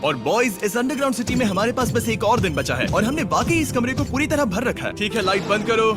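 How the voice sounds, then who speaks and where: A man voicing an excitable young video host in a dubbed challenge video, hyped and commanding as he narrates and banters. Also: high-pitched, fast talker. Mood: upbeat. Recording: loud music behind the voice.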